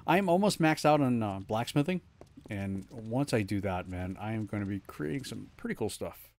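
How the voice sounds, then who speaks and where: A man's voice: fairly low pitch, animated, moderate pace, a little mellow. A man giving casual live gameplay commentary, thinking aloud in short, slangy remarks. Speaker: video game streamer. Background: game music.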